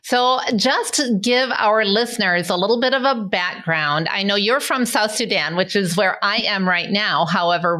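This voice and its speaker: An eager woman from Michigan hosts an interview show, her voice bright and forward. She talks with open enthusiasm and breaks into laughs between questions. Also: mid-range voice. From Michigan, United States. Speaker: podcast host. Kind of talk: conversational interview. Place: home in South Sudan.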